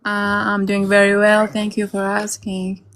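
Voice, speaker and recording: A woman's voice: medium pitch, monotone, normal speed. A woman chatting casually and politely as a guest caller. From Iraq. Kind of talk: casual chat. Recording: faint noise on a live call.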